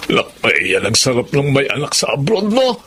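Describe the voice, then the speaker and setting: A middle-aged man with a Filipino accent playing a wheedling, opportunistic gambling crony in a TV drama, chatty and animated. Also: medium pitch, moderate pace, heavily acted. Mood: gleeful, sly. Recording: clearly noisy background.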